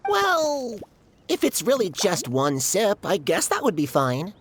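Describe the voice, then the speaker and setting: A woman's voice: medium pitch, huge pitch swings, measured pace, slight background noise. A woman voicing a sarcastic, no-nonsense little forest creature in a video game, with a dry, scolding manner. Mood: reluctant, helpful. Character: sarcastic, no-nonsense paper forest creature, exasperated but helpful. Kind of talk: animated video game voice acting.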